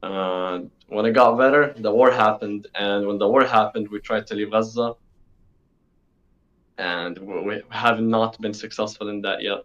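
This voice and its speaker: A man's voice: on the low side, steady tone, a little slow, a bit bright. Teenage male, from the UAE, casual interview guest, informal, emphatic repetition, muffled band-limited sound. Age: teens, sixteen. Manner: informal, repeats himself for emphasis.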